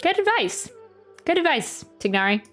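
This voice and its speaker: A woman's voice: high voice, sing-song, moderate pace, fairly bright tone. A woman streaming a game, chatting casually and rambling as she thinks aloud. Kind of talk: casual live stream commentary. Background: game music.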